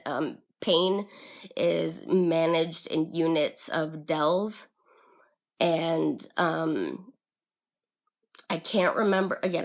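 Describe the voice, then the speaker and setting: Vlogger, woman in her 20s, raspy and low-pitched, slow and halting delivery. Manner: halting, with frequent ums and restarts. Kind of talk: casual personal vlog talk.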